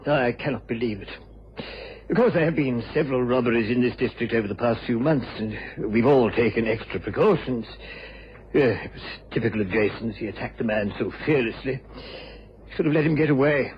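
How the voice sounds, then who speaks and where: An actor playing a grieving country gentleman, father of a grown son, in a radio crime drama, earnest and emphatic. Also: medium pitch, brisk, close to an everyday voice. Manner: earnest, emphatic, recounting witness. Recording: dull, hissy old radio recording.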